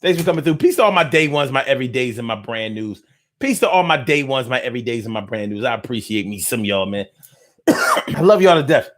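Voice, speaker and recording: A man's voice: medium pitch, lively intonation, fairly quick, fairly bright tone. A man hosting a podcast, riffing loosely in a playful, rambling way with heavy slang and repetition. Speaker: podcast host. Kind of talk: casual late-night podcast sign-off.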